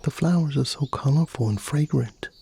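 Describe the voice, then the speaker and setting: A man voicing a gentle, awed young woman hiker in a bedtime story, with a soft, breathy falsetto. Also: normal speed, slight background noise. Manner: soft, wondering. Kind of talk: ASMR bedtime story character dialogue.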